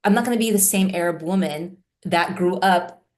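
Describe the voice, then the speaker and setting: Thoughtful woman therapist, lowish voice. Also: moderate pace, darkish tone. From United States. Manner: thoughtful, many "you know" and "right?" fillers. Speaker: therapist guest. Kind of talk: reflective interview talk.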